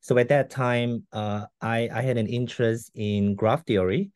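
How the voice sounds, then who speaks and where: A man's voice: medium pitch, steady tone, measured pace, a little mellow, smooth, no echo, studio quality. A man in his forties from Malaysia, whose first language is not English, answering interview questions casually with repeated words and fillers over a video call. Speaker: guest scientist. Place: room at home.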